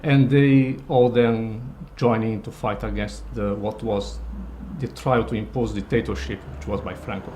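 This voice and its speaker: A man in his sixties, telling a personal family story with hesitant pauses and fillers. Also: on the low side, fairly even intonation, dark tone, a little hiss or hum. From Brazil. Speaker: interviewee.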